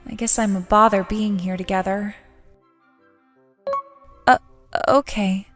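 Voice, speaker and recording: A woman's voice: mid-range voice, steady tone, takes it slowly, fairly bright tone, soft background music. A woman playing a sociable but nervous, lovesick schoolgirl in a manga dub, with an emotive, hesitant delivery. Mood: timid concern. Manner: emotive, self-doubting, hesitant. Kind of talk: dramatic manga dub narration and dialogue.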